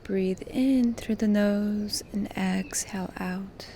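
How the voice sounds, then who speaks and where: A woman guides a meditation in a soft, mellow voice with some creak in it. Her delivery is gentle and very unhurried, with pauses between short phrases. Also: mid-range voice, fairly even intonation, slight background noise.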